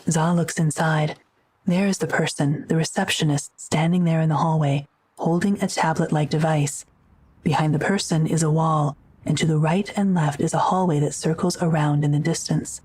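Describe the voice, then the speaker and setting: Low, husky female narrator. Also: fairly even intonation, normal speed, darkish tone. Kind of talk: scripted audio drama narration.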